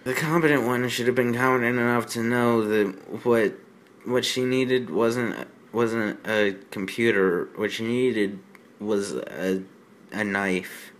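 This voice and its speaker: Male fan fiction critic, mid-range voice, unhurried. Incredulous and exasperated, halting off-the-cuff delivery with stammered repeats. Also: medium pitch, steady tone, a bit bright. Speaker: host of a fan fiction reading show. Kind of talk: mocking read-aloud with off-the-cuff commentary.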